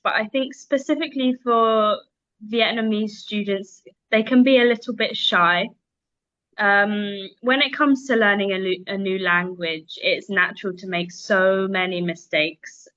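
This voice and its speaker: A woman's voice: medium pitch, a bit bright, no room sound, highs cut off. A young woman with a London English accent, speaking articulately as a guest English teacher in a casual interview. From London, England.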